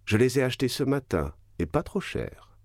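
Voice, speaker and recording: An actor reading the part of a worldly count who talks in a calm, gallant and slyly ironic way. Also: male voice, rumbling low, moderate pace, husky, no room sound, clean studio sound, heavily acted.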